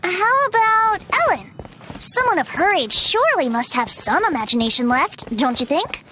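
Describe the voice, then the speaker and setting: A woman's voice: very high-pitched, big pitch swings, normal speed, sharp tone, slight background noise, full character voice, low-quality recording. A woman voicing a whimsical, theatrical young bard in a video game, with a playful, flowery, musing manner.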